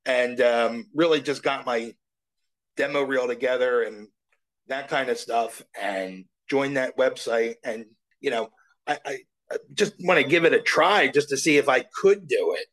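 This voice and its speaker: A man's voice: medium pitch, poor audio. A man from South Jersey talking as a voice actor guest in an interview. He speaks humbly and rambles, repeating himself as he gathers his thoughts. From South Jersey, USA. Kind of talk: casual interview chat.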